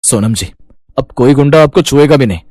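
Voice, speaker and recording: A man plays a kind city traveller in a cartoon tale. He sounds earnest and reassuring at a middle pitch, in a dry recording. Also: fairly quick, darkish tone, no echo, lightly acted.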